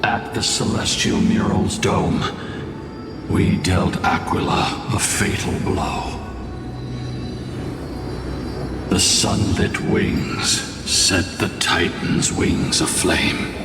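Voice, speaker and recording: A man's voice: low-pitched, slow. An actor playing a grave ancient guardian spirit in a fantasy game, telling his tale with solemn weight. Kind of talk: dramatic fantasy game voice acting. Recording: echoing hall reverb, distant, with music.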